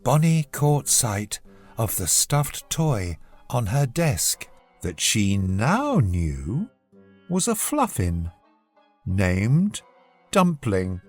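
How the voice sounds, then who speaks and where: A woman reading a children's bedtime story aloud as narrator, in an expressive, measured scripted style. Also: extremely low pitch, faint music behind.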